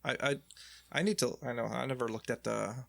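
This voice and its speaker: A man with a Chicago accent co-hosting a podcast in casual chat, halting and restarting often. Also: mid-range voice, fairly even intonation, creaky, fairly bright tone, no echo. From Chicago area. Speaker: podcast co-host.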